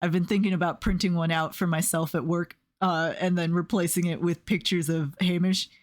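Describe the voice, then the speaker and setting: A young woman with a fairly low voice chats on a stream. She tells loose, rambling stories and fills her sentences with little hesitations. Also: steady tone, brisk. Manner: rambling storyteller with many fillers and soft laughs. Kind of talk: casual chat while drawing on stream.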